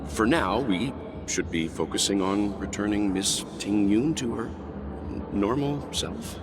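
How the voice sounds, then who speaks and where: Composed mature mentor, voiced by a man. Low, measured, authoritative; heavy score behind. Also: natural voice. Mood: calm. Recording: loud game music behind.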